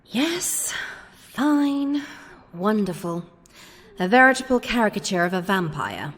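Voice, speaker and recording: A woman's voice: mid-range voice, big pitch swings, slow, fairly bright tone, long reverb tail, heavily acted. An actress playing an ambitious, exasperated mortal woman hungry for power in an audio drama, speaking with urgent, insistent persuasion. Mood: impatient. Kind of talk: comic audio drama acting.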